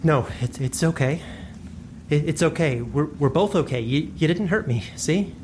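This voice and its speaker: A man playing a gentle, reassuring brother in a fantasy audio drama, halting and slightly shaky. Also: on the high side, slight background noise, lightly acted. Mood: shaken, reassuring. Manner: halting, stammering.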